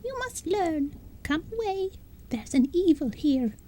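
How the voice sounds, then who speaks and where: A woman voicing a tiny, fiery fairy queen stuck in a possum's body, squeaky and commanding, in a storybook reading. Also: very animated, warm tone, slight background noise, full character voice. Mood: indignant. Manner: squeaky, commanding, high-pitched and slow.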